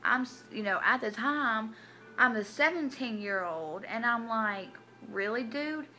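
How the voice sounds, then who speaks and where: A woman, telling her personal story to camera in a halting, hesitant way with many restarts. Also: fairly high pitch, animated, bright, forceful tone, soft background music. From Tennessee. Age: 20s. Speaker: vlogger. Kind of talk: personal confessional vlog.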